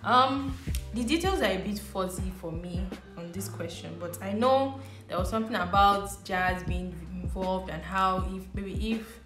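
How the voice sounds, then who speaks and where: A woman's voice: medium pitch, a little slow, fairly bright tone. A young woman in her twenties with a Nigerian accent, chatting casually to camera as she answers questions one by one. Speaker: vlogger. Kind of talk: casual vlog talk to camera. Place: living room indoors. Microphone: lapel mic. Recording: echoey room with music behind. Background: background music.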